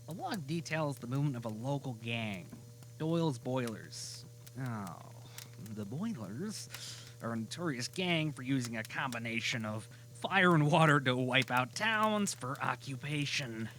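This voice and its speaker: A man narrating a scripted audio drama as a storyteller, deliberate and given to dramatic pauses. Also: mid-range voice, lively intonation, a bit bright.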